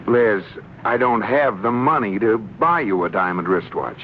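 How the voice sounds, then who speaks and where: A man's voice: lowish voice, lively intonation, unhurried. A man playing a thrifty, wry, exasperated American husband in vintage radio comedy style. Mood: wry, reluctant. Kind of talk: 1940s-50s radio situation comedy acting. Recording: muffled, hissy old radio recording.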